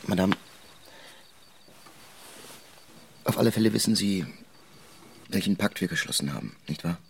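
An actor playing an ardent, courteous suitor in a literary radio drama, speaking with formal, earnest gallantry. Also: male voice, low-pitched, brisk, gravelly, a little hiss or hum. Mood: solemn, devoted. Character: ardent, courteous male suitor consoling a young widow.

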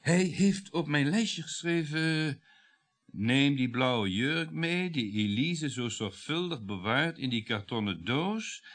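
An actor playing a bitter, sardonic French bourgeois husband in a radio play, declaiming with the odd halting hesitation. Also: male voice, medium pitch, a bit bright. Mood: sharp, insistent.

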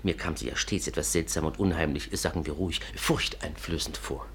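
An actor playing an anxious, courteous inventor in a 1950s German radio play, speaking formally and hesitantly in a husky, breathy voice. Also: male voice, extremely low pitch, a little fast, a little hiss or hum. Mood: earnest, troubled. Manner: formal, hesitant, repeats a pet phrase.

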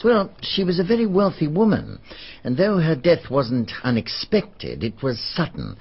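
An actor playing a plain-spoken man in a BBC radio drama who reports facts in a measured, matter-of-fact way. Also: on the high side, sing-song, breathy edge, heavily acted. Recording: dull archive radio recording.

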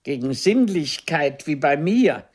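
An actress playing a proud, sharp-tongued ageing stage diva and wounded former lover in a radio play, theatrical and imperious. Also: female voice, deep voice, sing-song, takes it slowly, husky, exaggerated voice. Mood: accusing, scornful. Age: older. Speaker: radio drama actor.

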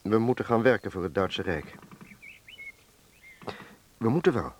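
An actor playing an earnest middle-aged factory director in a Dutch wartime radio drama, speaking in a measured, explanatory way. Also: male voice, on the low side, animated, slight background noise. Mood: grave, urgent. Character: earnest male factory director defending collaboration in wartime Netherlands.

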